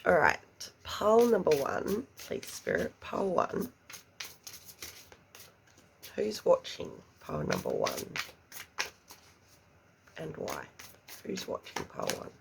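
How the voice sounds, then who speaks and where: Slow, gravelly woman reading tarot. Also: sits low, huge pitch swings, noisy background. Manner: chatty, murmurs card questions under her breath. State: congested after an illness. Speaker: tarot reader. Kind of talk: pick-a-card tarot reading.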